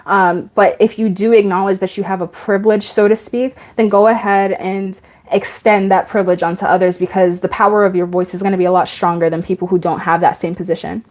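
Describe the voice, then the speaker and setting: A woman's voice: medium pitch, fairly even intonation, a little fast, darkish tone. A woman of about twenty-five hosting a casual live stream, chatting, laughing often and reading out viewer comments.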